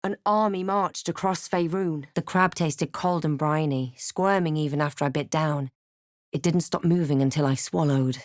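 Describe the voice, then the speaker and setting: A woman voicing a wry, resolute adventurer and spellcaster in a fantasy game, with measured, dramatic delivery. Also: low voice, no room sound, clean studio sound, natural voice. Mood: grave storytelling. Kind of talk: fantasy game voice lines: narration, remarks, incantations.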